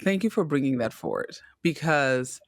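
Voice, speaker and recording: A woman's voice: a bass voice, sing-song, moderate pace, no room sound, clean studio sound. A woman from Zimbabwe, hosting a podcast interview in a chatty, affectionate way. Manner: chatty, affectionate, reads intro aloud. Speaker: podcast host.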